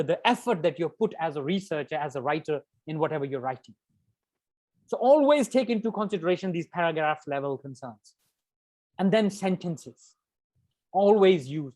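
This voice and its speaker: A man's voice: high voice, darkish tone. A man giving an academic writing workshop, talking emphatically, repeating key words and adding conversational asides. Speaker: workshop lecturer. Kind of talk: interactive academic workshop lecture.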